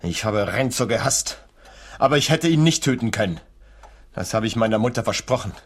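An actor playing a meek, resentful, lovesick man in a radio play, his voice husky. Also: lowish voice, expressive, fairly quick. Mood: bitter, resigned.

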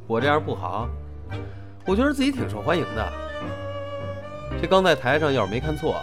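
A man's voice: fairly high pitch, wildly swooping pitch, fairly quick. A man with a northern Mainland Chinese accent, acting a self-assured, teasing senior comedian in an audio drama. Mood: wry. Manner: wry, teasing. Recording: music behind the voice.

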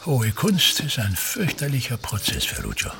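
A man's voice: medium pitch, huge pitch swings, moderate pace, creaky, background noise. An actor playing a pompous, self-important older theatre man and tyrannical father, declaiming disdainfully and repeating his phrases in a radio drama. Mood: grandiose.

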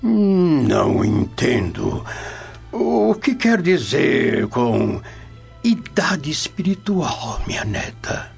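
A grave old Roman patriarch with a Brazilian accent, tender yet perplexed, in a radio drama. The delivery is unhurried and swings widely in pitch. The sound is dull and lo-fi, with music underneath. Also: male voice, sing-song, creaky, darkish tone, husky, heavily acted. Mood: puzzled. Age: older. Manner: solemn, paternal, deliberate. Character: elderly Roman grandfather, grave, tender but perplexed traditionalist.

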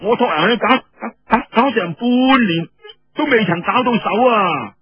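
A man's voice: high voice, animated, a little slow, slightly rough, dry recording, highs cut off, voice pushed up, exaggerated voice. A man voicing a drunk, brash, devil-may-care young rebel warrior in Cantonese, stammering and blustering. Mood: envious, excited.

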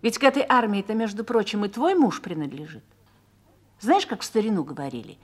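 An actress playing an earnest, motherly army wife in a radio play, with a husky, reflective delivery. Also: mid-range voice, animated, a little fast, darkish tone, close to an everyday voice. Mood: earnest, reminiscing. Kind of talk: Russian radio drama acting.

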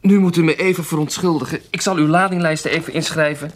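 An actor playing a self-important, flattering town magistrate with a husky voice and a courtly, fussy manner in a period audio drama. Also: male voice, a little high, fast talker, slight background noise, natural voice. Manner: courtly, fussy, repeats himself.

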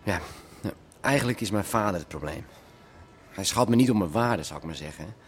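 An actor playing a crime boss's hesitant, whiny young son in a radio drama, halting and complaining. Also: male voice, low-pitched, animated, a little hiss or hum. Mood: hesitant, aggrieved. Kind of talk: naturalistic radio drama acting.

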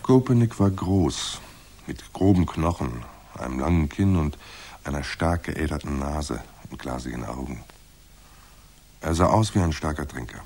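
A hardboiled private eye in a radio drama, with a very low, rough-edged voice. He is laconic and wry, telling his case and trading lines in a dry deadpan. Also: unhurried, heavily acted. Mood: dry, observant. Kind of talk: hardboiled radio play narration and dialogue.

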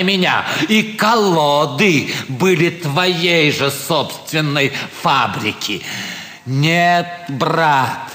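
An actor playing a ruined gentleman turned swindler in a classic stage comedy, brazenly justifying himself with theatrical, reasoning delivery. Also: on the high side. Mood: needling. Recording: reverberant theatre hall.

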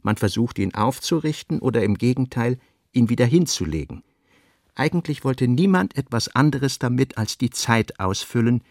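Creaky-voiced man narrating literary prose. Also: mid-range voice, a little mellow, dry recording, studio quality. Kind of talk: scripted literary narration for a radio play.

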